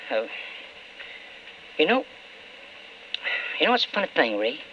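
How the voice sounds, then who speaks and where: An actor playing a weak, wavering alcoholic husband and politician in an old-time radio drama, halting and emotional, often stammering. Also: male voice, fairly high pitch, sing-song. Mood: tipsy, rambling. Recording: dull, faintly noisy old radio recording.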